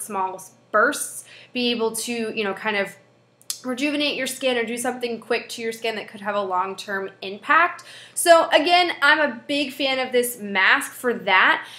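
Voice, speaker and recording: A woman's voice: fairly high pitch, sharp tone, reverberant. Stuffed-up young woman vlogging chattily. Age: young adult. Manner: chatty, friendly, with frequent fillers. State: stuffed-up nose from a head cold. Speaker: beauty vlogger. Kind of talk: casual product review. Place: small room indoors. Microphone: webcam at desk distance.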